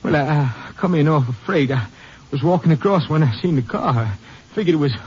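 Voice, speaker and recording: Man acting a high-voiced, nervous hobo. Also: animated, brisk, a little mellow, close to an everyday voice. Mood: nervous, pleading. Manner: folksy ungrammatical drifter speech, stammering denials. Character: scared, evasive male hobo and petty thief. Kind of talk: 1950s radio drama acting. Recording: dull, noisy old radio recording.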